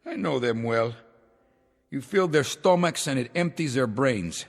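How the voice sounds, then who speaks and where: An imperious, husky revolutionary ruler. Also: male voice, medium pitch, lively intonation, unhurried. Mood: scornful. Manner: grandiose, theatrical, commanding. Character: paranoid, imperious male revolutionary leader turned ruler. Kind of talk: mid-century television drama acting. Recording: old TV soundtrack, reverberant, music behind.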